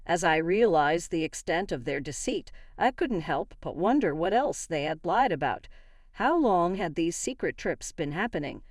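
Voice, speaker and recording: A woman reading scripted first-person drama narration with emphatic, expressive storytelling. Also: on the low side, no echo, clean studio sound.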